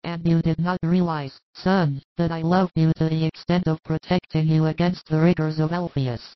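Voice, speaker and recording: A man voicing a tender, patient older mother with a gentle, coaxing manner. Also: fairly high pitch, flat delivery, normal speed, smooth, no room sound, lo-fi sound, voice pushed up, close to an everyday voice. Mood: patient, loving.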